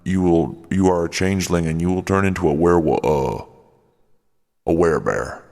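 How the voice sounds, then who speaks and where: Man acting as irritable werebear road comic, very low voice, halting drawl, comedy radio play, long reverb. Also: creaky, darkish tone. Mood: grave, halting. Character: gruff, defensive male road comic who is secretly a werebear.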